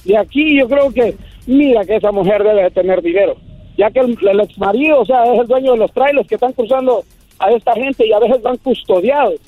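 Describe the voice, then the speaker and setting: Middle-aged Mexican male activist guest, impassioned, high pitch, dry phone-line audio. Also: brisk, no echo. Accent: Mexican Spanish. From Michoacán, Mexico. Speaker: migrant shelter founder and activist, interview guest. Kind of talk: impassioned interview commentary. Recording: muffled tinny phone line, faint music.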